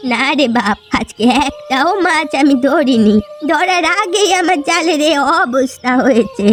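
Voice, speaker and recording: A woman's voice: sits high, animated, a little slow, faint music behind. A woman voicing a poor, sorrowful old fisherwoman in a fairy tale cartoon, weary and lamenting. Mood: resigned. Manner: plaintive, lamenting.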